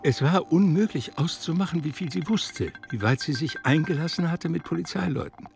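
A man as the wry, literary narrator of a German radio drama, measured, articulate and ironic. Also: fairly high pitch, animated, gravelly, a little mellow, faint music behind. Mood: tense, ironic. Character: wry, literary storyteller of a novel.

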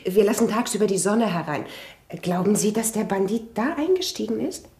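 A woman's voice: medium pitch, animated, fairly quick, a little mellow, close to an everyday voice. An actress playing a young woman who kept house for a murdered man, chatty and flustered, in a 1970s radio crime drama. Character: young woman, late master's companion, flustered. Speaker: housekeeper and companion.

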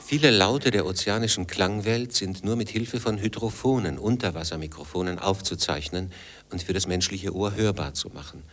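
A man with a low-pitched voice narrates a radio feature. He reads from a script as the reporter guiding the listener through the piece. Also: normal speed, noisy background.

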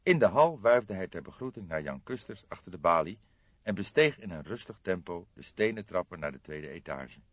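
A male audiobook narrator reads literary prose in a low voice with lively intonation. The recording is slightly dull and roomy. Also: moderate pace.

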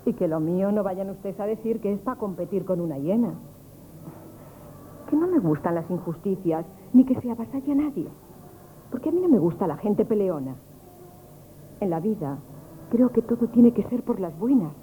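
An actress in a 1970s Spanish radio play as a kind-hearted but feisty young shopkeeper's daughter, speaking with an accent from Spain. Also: female voice, medium pitch, lively intonation, normal speed, long pauses, lightly acted. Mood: indignant. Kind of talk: 1970s radio comic theatre acting. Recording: dull, noisy vintage radio broadcast.

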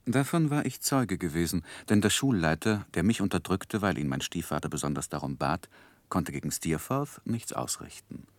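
An actor playing a thoughtful gentleman who recounts his youth in a radio drama, in measured, observant literary narration. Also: low-pitched, lively intonation, no room sound. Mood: reflective.

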